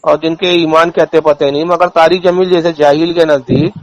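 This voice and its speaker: High-pitched, scornful cleric. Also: male voice, fairly even intonation, normal speed, dry recording, lo-fi sound. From India. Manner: scornful, indignant, insulting, with rhetorical questions. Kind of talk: polemical religious talk, off the cuff.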